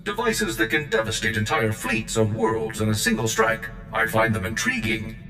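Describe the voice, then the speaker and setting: A man plays a loyal combat droid with a low voice, proud and zealous. Every word is formal and precise, like a military report in a video game. The sound is echoey, with music behind it. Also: normal speed, lightly acted. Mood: earnest, inquisitive. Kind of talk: scripted video game acting. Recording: game cutscene with echo and music.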